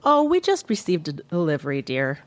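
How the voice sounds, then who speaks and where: A woman's voice: lowish voice, wildly swooping pitch, a little fast. A woman playing a mild, evasive mid-century housewife in a live radio play, sounding innocent and vague. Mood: placating, evasive.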